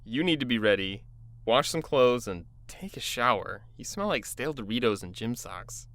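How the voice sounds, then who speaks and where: A man's voice: medium pitch, lively intonation, moderate pace, a bit bright, no echo. An actor playing a frustrated young man who pushes his friend to go out, his voice bursting upward when upset. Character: exasperated young male friend, pushy. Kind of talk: audio drama dialogue.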